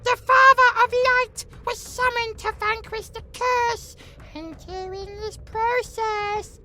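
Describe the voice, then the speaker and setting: A minute pixie monarch with a piping, childlike-high voice. The pixie speaks slowly, boastful and impish, with grand theatrical airs. It is a fantasy audio play with clear noise on the recording. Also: female voice, steady tone. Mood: grave storytelling. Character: tiny regal pixie lord, cheeky and boastful. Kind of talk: fantasy audio drama acting.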